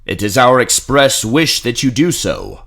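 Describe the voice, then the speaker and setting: Imperious fantasy emperor, male actor: pompous, cold, regal, very animated delivery for audio drama. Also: medium pitch, measured pace, creaky, voice pushed up, heavily acted. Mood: furious, commanding. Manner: pompous royal we, commanding. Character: imperious emperor, commanding, short-tempered, coldly smiling.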